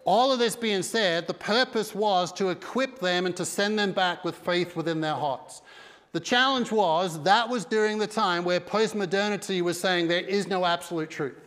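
A man's voice: high voice, moderate pace, a bit bright. A middle-aged man preaching a sermon with emphatic delivery on a church stage. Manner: emphatic preaching with broad hand gestures. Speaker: pastor. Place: large dark church stage. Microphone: headset mic. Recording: long reverb of a big hall.

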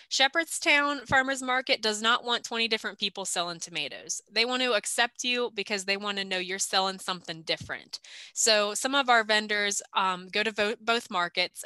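A woman's voice: medium pitch, sharp tone, clear, resonant tone, no room sound. A young woman giving a practical, conversational training presentation over a video call, with frequent filler "um"s. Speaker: extension agent giving vendor training. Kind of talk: recorded online training presentation over slides. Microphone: video call webcam.

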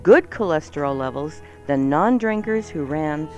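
Lively radio co-host with swooping pitch. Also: normal speed, music in the background. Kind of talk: chatty, joking radio banter and item reading.

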